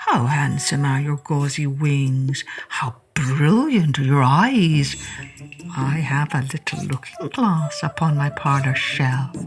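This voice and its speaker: Woman as a sly, slow, coaxing male spider. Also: low-pitched, soft background music, voice pushed down, exaggerated voice. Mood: flattering. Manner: coaxing, drawn-out, breathy flattery. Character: cunning male spider, silky flattering predator.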